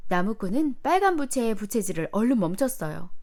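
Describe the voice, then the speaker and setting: A woman narrating a children's storybook in an expressive, careful storytelling manner meant for young children. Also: mid-range voice, fairly quick. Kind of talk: animated children's storybook reading.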